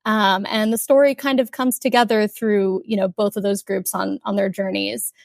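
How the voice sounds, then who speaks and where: A young woman from Kuwait, an author talking casually in an interview, chatty with many fillers and soft laughs. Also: mid-range voice, fairly even intonation, moderate pace, creaky, dry recording, studio quality. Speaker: author guest.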